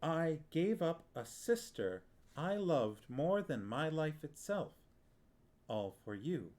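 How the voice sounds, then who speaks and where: An ancient sorceress, cold and commanding, as a man reads her part. She speaks quietly and flatly. Each word is clipped and cutting. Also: on the high side, slow pace, pitched above the performer's own voice. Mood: bitter.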